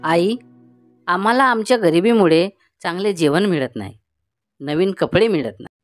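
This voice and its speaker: A woman's voice: on the low side, lively intonation, normal speed, no echo, faint music behind, lightly acted. A woman playing an earnest, helpful schoolchild from a poor family in a cartoon story. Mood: dejected. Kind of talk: scripted cartoon story dialogue.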